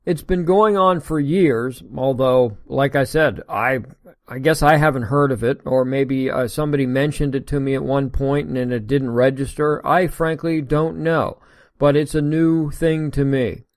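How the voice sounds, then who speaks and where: A man's voice: medium pitch, fairly even intonation, moderate pace, dry recording. Give me an American male podcast host with a dry, close sound who reads the news with indignant sarcasm. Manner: sarcastic, indignant asides between read passages. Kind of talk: casual solo commentary reading an article.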